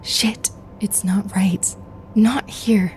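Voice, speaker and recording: An actress playing a frightened, mistrustful armed stranger with a halting, edgy delivery in a sci-fi horror audio drama. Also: female voice, mid-range voice, steady tone, darkish tone, slight background noise. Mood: anxious. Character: guarded, frightened masked woman with gun, code-named stranger.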